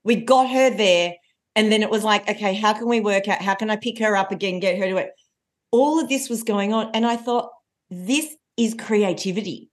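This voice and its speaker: Lively woman in her forties. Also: mid-range voice, moderate pace. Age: 40s. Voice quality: loud voice. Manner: lively storyteller. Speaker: coach and trainer. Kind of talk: podcast interview.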